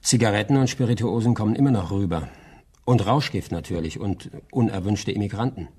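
A man's voice: on the low side, fairly quick, darkish tone, breathy edge. An actor playing a dry, plain-spoken coastal watchman in a German crime radio play, laconic and colloquial. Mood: knowing.

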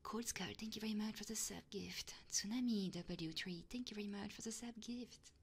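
A woman live streamer chatting casually and playfully in a soft, breathy ASMR style, often whispering, close to the microphone. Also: mid-range voice, moderate pace, slight background noise. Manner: casual and playful, swearing freely.

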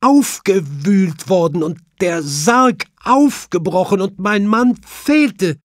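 A man's voice: high-pitched, expressive, slow, dry recording, studio quality, voice pushed up. A man voicing a distraught, weeping widow in an audiobook, shaken and tearful.

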